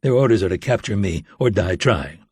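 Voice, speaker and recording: A male narrator voices a stoic covert operative in a thriller audiobook. He is terse and commanding, with a husky voice and a dry, close sound. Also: on the low side, lively intonation, brisk, a little mellow, dry recording. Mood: grim, resolute. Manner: terse, authoritative. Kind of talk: audiobook character dialogue.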